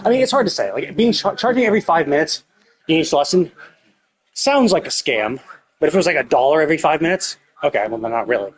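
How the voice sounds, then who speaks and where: A man streaming a game, casually rambling and thinking out loud as he commentates. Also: fairly high pitch, animated, fast, soft background music. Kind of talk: casual live stream commentary, speculating aloud.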